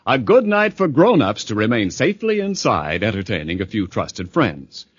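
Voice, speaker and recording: Animated vintage male radio pitchman. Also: normal speed, husky, no room sound. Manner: polished, emphatic salesmanship. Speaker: commercial announcer. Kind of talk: 1940s radio advertising pitch. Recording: dull, dry vintage radio recording.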